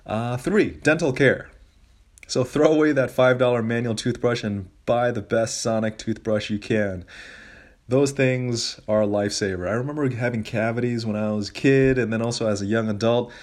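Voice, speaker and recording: A man's voice: medium pitch, fairly even intonation, moderate pace, darkish tone. A middle-aged man hosting a solo personal finance podcast, talking casually and giving emphatic advice with colloquial asides. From grew up in Asia and Zambia, living in the United States.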